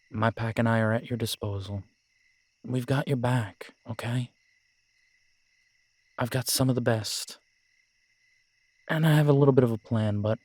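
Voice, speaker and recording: A calm, protective werewolf pack leader acted by a man in an audio roleplay. He is determined and matter-of-fact, with a measured, mid-pitched delivery and a dry recording. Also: dry recording, lightly acted.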